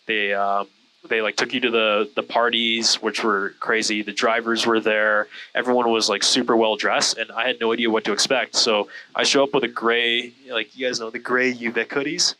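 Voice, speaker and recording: A man's voice: medium pitch, little pitch movement, normal speed, bright, forceful tone, poor audio. A man telling stories casually in a rambling, self-deprecating way, with lots of "like". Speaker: guest, content creator. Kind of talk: casual storytelling chat. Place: small airplane cockpit in flight.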